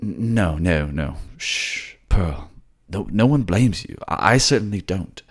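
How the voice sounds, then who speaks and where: A man's voice: low-pitched, animated, measured pace, husky, pitched above the performer's own voice, full character voice. Man playing a tender, stammering young fantasy prince. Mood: anguished, tender. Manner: hesitant, stammering. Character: kind, tender young prince, shaken and remorseful. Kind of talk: fantasy audio drama acting.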